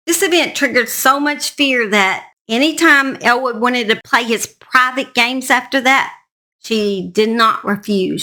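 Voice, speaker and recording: A woman hosting a true crime show, telling a story in a measured narration with informal personal asides. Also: medium pitch, pushed and bright. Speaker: true crime channel host.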